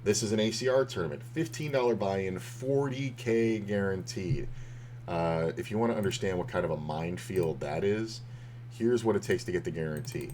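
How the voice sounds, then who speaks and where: Male streamer, deep and a bit hoarse, rambling casual poker commentary. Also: moderate pace, darkish tone, a little hiss or hum. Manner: rambling, loose, with many fillers and restarts. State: slightly hoarse.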